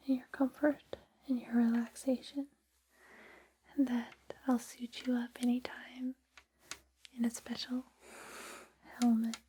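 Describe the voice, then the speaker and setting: A woman doing an ASMR roleplay as a gentle guide, speaking in a soothing, reassuring, breathy whisper with unhurried pauses. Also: high-pitched, a little hiss or hum. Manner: soothing, reassuring personal attention; slow guided-relaxation delivery with pauses. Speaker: ASMR host playing a space travel guide.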